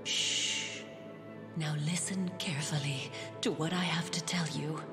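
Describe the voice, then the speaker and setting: A woman voicing a dying fairy queen mother in a video game, solemn and tender, speaking slowly in hushed, breathy whispers with regal, archaic phrasing. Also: low-pitched, fairly even intonation. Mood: grave, urgent. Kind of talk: dramatic video game voice acting. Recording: echoing reverb under game music.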